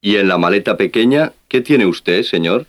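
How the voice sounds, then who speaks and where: A man playing a deep-voiced, polite customs officer. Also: expressive, moderate pace, close to an everyday voice. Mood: formal. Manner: formal, polite questioning. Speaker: language-lesson voice actor. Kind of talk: scripted language-lesson dialogue.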